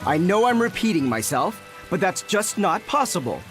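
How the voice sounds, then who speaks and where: A man's voice: high-pitched, very animated, moderate pace, fairly bright tone, soft background music. A man playing a dismissive townsperson in a video game, emphatic and sceptical. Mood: adamant disbelief.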